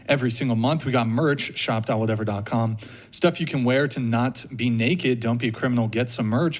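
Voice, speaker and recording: A man hosting a podcast, running through show announcements briskly with deadpan jokes. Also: medium pitch. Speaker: podcast host. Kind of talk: rapid-fire show announcements.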